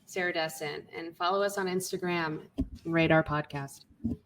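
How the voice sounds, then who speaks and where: A woman's voice: lowish voice, fairly even intonation, moderate pace, a little hiss or hum, rough recording. A young woman co-hosting a podcast, chatting candidly and wryly, with casual swearing. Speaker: podcast co-host. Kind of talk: casual chat.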